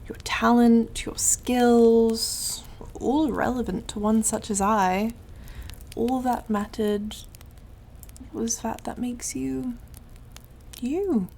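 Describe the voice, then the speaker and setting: Woman acting a slow, silky, mocking devil. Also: on the high side, fairly even intonation, creaky, a little mellow, a little hiss or hum, heavily acted. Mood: smug, taunting. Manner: theatrical, drawling, over-polite, taunting. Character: suave, mocking, condescending devil who deals in soul contracts. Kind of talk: ASMR roleplay monologue.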